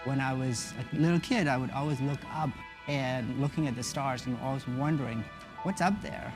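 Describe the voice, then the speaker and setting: A man from South Sudan speaks earnestly and reflectively about his path as a rocket engineer. His voice sits at an ordinary middle pitch. Clear music plays underneath throughout. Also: moderate pace, rough recording. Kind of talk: interview in a produced feature.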